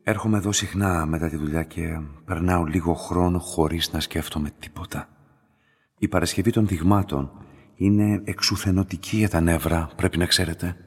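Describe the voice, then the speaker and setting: An actor playing an enigmatic, courteous laboratory director in a radio drama, formal, deliberate and softly insinuating, with a husky voice. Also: male voice, low voice, fairly even intonation. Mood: intimate, insinuating. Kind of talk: slow dramatic radio acting. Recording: long reverberant room echo.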